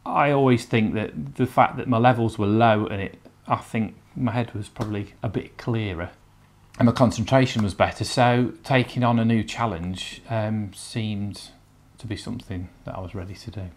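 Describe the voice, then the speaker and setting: A man in his thirties speaks in a documentary interview with a somewhat low voice. He talks reflectively, pausing and restarting as he thinks. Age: 30s. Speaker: interviewee, nurse.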